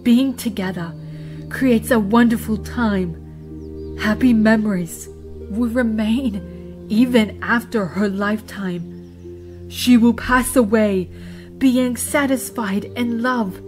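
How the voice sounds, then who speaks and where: A woman voicing a wise, scolding female zebra who speaks in dramatic, deliberate rhyme in an audio drama. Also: fairly high pitch, darkish tone, faint music behind. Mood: gentle counsel. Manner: deliberate, rhyming, dramatic delivery. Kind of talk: fan audio drama acting.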